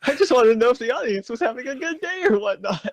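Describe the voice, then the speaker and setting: A young man co-hosting a comedy reading, jokey and quick to laugh, throwing in wisecracks. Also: very high-pitched, big pitch swings, gravelly, no room sound, studio quality. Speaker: co-host. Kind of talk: casual comedic banter.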